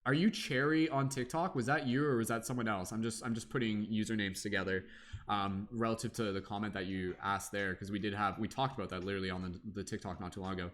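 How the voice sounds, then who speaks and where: A man's voice: on the low side, fairly even intonation, quick pace, fairly bright tone, slight background noise. A young man hosting a casual tarot livestream, rambling with many fillers and humming to himself between comments.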